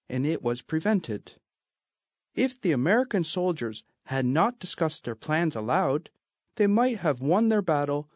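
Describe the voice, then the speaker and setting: Lively-voiced man reading a test passage. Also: on the high side, dry recording. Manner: deliberate, clearly paced reading. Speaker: exam listening narrator. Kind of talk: scripted narration.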